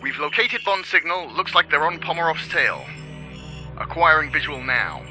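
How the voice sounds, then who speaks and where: A man playing a brisk, businesslike intelligence operator reporting on a mission in a video game. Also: fairly high pitch, sing-song, bright, forceful tone, soft background music, exaggerated voice. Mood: focused, businesslike. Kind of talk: cinematic video game acting.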